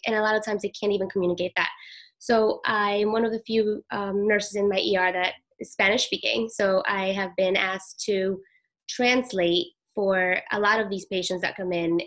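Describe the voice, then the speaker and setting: A middle-aged woman from Peru, an emergency room nurse, giving a halting, soft-spoken personal account in an interview. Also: mid-range voice.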